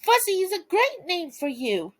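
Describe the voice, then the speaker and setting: An excitable, friendly boy puppy from a cartoon storybook, played by a woman. The voice is very high and swoops about eagerly. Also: moderate pace. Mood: excited. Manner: excitable, exclaiming.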